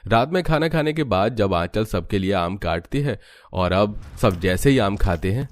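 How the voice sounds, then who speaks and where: A man narrating a cartoon story in an even, storytelling manner. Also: fairly low pitch, moderate pace.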